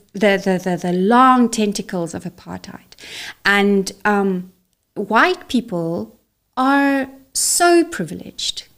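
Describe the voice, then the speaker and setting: A woman's voice: medium pitch, vocal fry. South African woman, Afrikaans native, interview guest; outspoken, emphatic, stumbles and restarts. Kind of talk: interview conversation.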